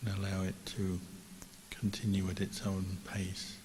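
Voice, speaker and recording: A man teaching guided meditation as a Buddhist monk, calm and measured, with long pauses between phrases. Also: low voice. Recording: echoey hall reverb with clear hiss.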